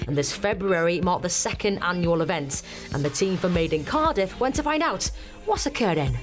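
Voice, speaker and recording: A woman presenting a television magazine show, reading scripted links in a bright, upbeat way. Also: on the low side, lively intonation, moderate pace. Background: music bed.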